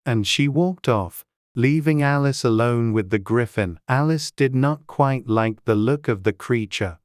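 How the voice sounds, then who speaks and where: A male audiobook narrator reading a classic storybook. His delivery is slow and measured, in a very dry recording without room sound. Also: medium pitch, dry recording.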